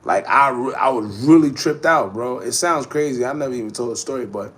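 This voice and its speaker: A man's voice: medium pitch, a little fast, fairly bright tone. A man in his thirties hosting a casual livestream chat, talking in slangy, rambling street speech and answering viewer comments. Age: 30s. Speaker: host.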